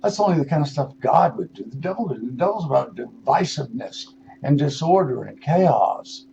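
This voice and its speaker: An older man, in his sixties or beyond, hosting a radio show with a rambling spiritual monologue, musing and halting, then leaning into words for emphasis. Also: on the high side, animated, creaky, warm tone. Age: 60s or older. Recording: dull lo-fi radio audio with noise.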